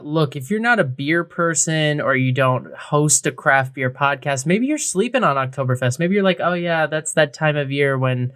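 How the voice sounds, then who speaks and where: A man's voice: fairly high pitch, a bit bright. A man co-hosting a podcast, talking casually with a wry, joking manner. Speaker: co-host of a craft beer podcast.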